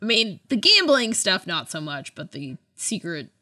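A woman co-hosting a podcast in casual chat, animated and conversational, often hedging as she talks. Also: mid-range voice, unhurried. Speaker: podcast co-host. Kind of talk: casual chat about books and films.

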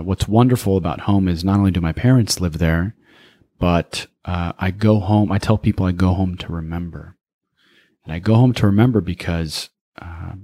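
American guy around 40, deep voice, deliberate storyteller on a podcast, dry studio sound. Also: dark tone, no room sound. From United States, raised in small-town Pennsylvania. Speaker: podcast guest, speaker. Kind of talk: reflective personal storytelling in an interview.

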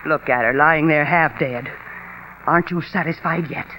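An actress playing a possessive, jealous woman who clings to her sister and turns shrill and accusing. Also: normal speed, bright, forceful tone, slight background noise, rough recording. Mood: pleading. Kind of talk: 1940s melodrama acting.

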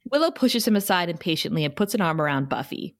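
A woman's voice: on the low side, big pitch swings, fast. A woman narrating stage directions for a scripted table read.

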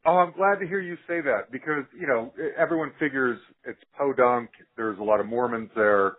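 A man's voice: mid-range voice, moderate pace, husky, narrow, tinny audio. An American man hosting a conversational podcast interview in an enthusiastic manner. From Utah, United States.

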